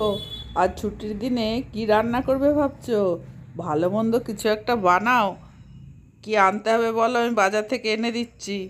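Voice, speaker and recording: A woman playing a practical father who coaxes and gently scolds children in a doll-play story. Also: on the high side, moderate pace, natural voice. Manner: coaxing, gently scolding, repetitive. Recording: faint background noise.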